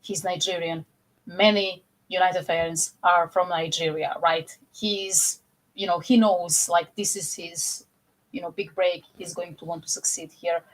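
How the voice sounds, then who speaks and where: A woman's voice: fairly low pitch, measured pace, low-quality recording. Chatty Croatian woman, filler-heavy. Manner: animated, many fillers like "you know". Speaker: podcast co-host. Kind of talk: casual football chat on a video call.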